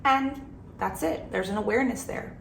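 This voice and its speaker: A woman's voice: on the high side, moderate pace, gravelly, echoey room, slight background noise, poor audio. A woman in her thirties, talking earnestly and reflectively to camera about her spiritual practice, with some fillers and restarts. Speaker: spiritual channel host. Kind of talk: personal talk to camera.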